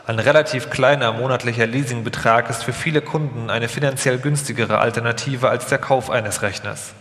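A man's voice: mid-range voice, a bit bright. A man reading scripted documentary narration live, in a formal, factual manner. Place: large hall, live stage reading. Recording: echoey hall, long reverb.

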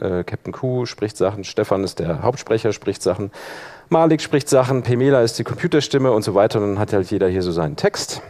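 Low-voiced man leading an informal software workshop. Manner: informal, talking through clicks while working. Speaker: workshop presenter, audio editor. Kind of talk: live software demonstration, explanatory.

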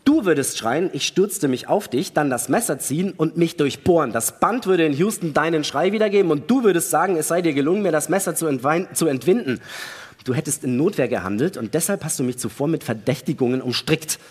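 An actor playing a suspicious, coldly calculating astronaut who argues methodically and accusingly, in a dramatic sci-fi radio play reading. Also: male voice, fairly high pitch, expressive, breathy edge. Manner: argumentative, methodical, deliberate reasoning. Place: large hall, stage reading. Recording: echoey hall, long reverb.

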